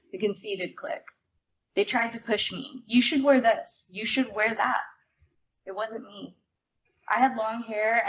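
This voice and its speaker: A woman performs a dramatic reading in an expressive, emphatic way, with a mid-range voice. The recording is dull and lacks detail, with noticeable noise behind her. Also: medium pitch. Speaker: theater reader. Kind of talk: dramatic public reading of book excerpts. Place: live reading at a library event.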